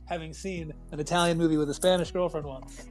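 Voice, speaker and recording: An American man co-hosting a casual chat, teasing the others with quick jokes. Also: fairly high pitch, fairly even intonation, a little mellow, faint music behind. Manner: joking, teasing, quick asides.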